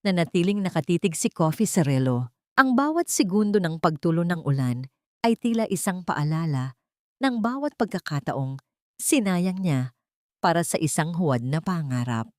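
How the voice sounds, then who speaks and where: A woman's voice: on the low side, animated, moderate pace, darkish tone, no echo, studio quality. A woman with a Filipino accent narrating a scripted dramatic story. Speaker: narrator of audio love stories.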